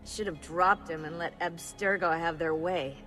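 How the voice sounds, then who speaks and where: A woman's voice: fairly low pitch, fairly even intonation, moderate pace, a bit bright, lightly acted, rough recording. Woman playing a blunt friendly techie assassin gal in a video game, casual n teasing, bit of music behind her. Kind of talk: game cutscene dialogue. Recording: music under the dialogue.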